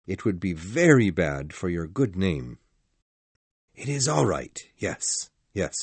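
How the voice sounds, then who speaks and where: A man acting a grave, formal gentleman in a gothic mystery audiobook, speaking in a deliberate, earnest way. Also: low-pitched, big pitch swings, husky, dry recording, exaggerated voice. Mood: grave, probing. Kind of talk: dramatised audiobook dialogue.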